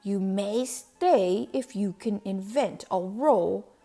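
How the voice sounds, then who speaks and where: A woman voicing a stern, angry male Duke in a storybook, with imperious, drawn-out commands. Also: mid-range voice, warm tone, soft background music. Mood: grudging. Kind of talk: storybook character voice.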